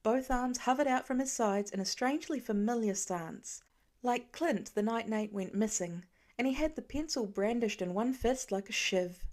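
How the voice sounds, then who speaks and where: A woman's voice: on the high side, moderate pace, darkish tone. A woman reading fiction aloud as a scripted narration, in the style of an amateur audiobook.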